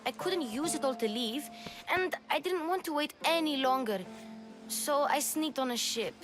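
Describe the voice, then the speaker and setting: A woman's voice: high-pitched, moderate pace, lightly acted. An actress playing an eager, plucky young runaway girl in a video game, chirpy and earnest. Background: game music.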